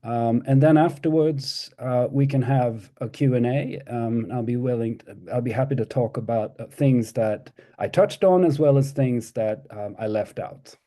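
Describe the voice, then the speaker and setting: Hesitant Swedish-born man, very dark voice. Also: mid-range voice, moderate pace, hardly any pauses. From Sweden. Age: middle-aged. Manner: hesitant, many ums and restarts. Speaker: guest lecturer. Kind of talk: academic talk over video call. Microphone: video call.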